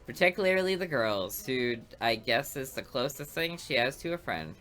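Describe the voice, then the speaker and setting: A woman narrates a visual novel in first person with a dry, sarcastic edge. Her voice sits low but has a bright, clear tone, and it moves up and down a lot. Faint music plays underneath. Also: big pitch swings, normal speed. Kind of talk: reading a visual novel aloud.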